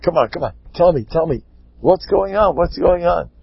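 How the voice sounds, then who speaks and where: Man acting an impatient, insistent husband, raised gravelly voice. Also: sits high, expressive, a little slow, dry recording, muffled recording, pitched above the performer's own voice, exaggerated voice. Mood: insistently curious. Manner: insistent, repetitive, pleading. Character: angry, impatient husband demanding a divorce.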